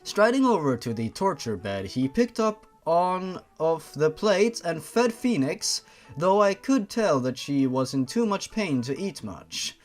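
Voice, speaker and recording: Expressive, higher-pitched man reading stories. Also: a little slow, faint music behind. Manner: expressive, sardonic. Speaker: host reading fan fiction. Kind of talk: story narration with incredulous asides.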